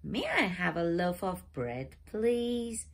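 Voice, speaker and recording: A woman's voice: on the low side, very animated, slow, full character voice. A woman voicing a polite little kitten girl in a storybook reading. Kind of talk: read-aloud storybook voice.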